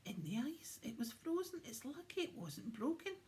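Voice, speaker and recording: A woman voicing small, excitable Christmas elves who cry out in short phrases in a children's storybook. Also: high-pitched, normal speed, pitched above the performer's own voice. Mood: earnest. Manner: crying out in short rhyming phrases.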